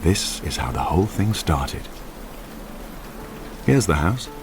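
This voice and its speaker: Very deep, brooding novelist narrator. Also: male voice, very animated, takes it slowly, music in the background, heavily acted. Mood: brooding, intent. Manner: musing, asks himself questions. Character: brooding male crime novelist narrating his own plot. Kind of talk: intimate radio drama monologue.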